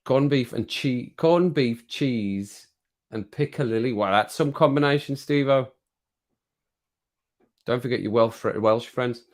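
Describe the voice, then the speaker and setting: A man hosting a casual livestream, his voice a bit croaky from singing, chatting to viewers at home and laughing often. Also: medium pitch, a little slow. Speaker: livestream host reading chat. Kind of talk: casual chat to a live audience. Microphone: home streaming mic with compressor.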